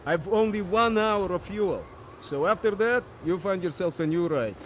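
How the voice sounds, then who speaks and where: A man's voice: high voice, lively intonation, normal speed, slight background noise. A man playing a wry, fatalistic Soviet helicopter pilot and double agent in a video game, with a Russian accent. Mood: warning.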